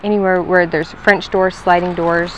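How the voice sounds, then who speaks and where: A woman's voice: on the low side, level tone, normal speed, dull audio, a little hiss or hum. A woman going door to door selling pest control, talking in a friendly, upbeat and talkative way at a front door outside. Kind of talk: sales pitch at a front door. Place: outdoors at a house door.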